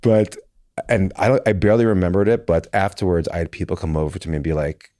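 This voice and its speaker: A young man with a deep bass voice, a podcast guest telling stories in a rambling, self-deprecating way. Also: fast talker, dark tone, no room sound, clean studio sound. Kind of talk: conversational interview.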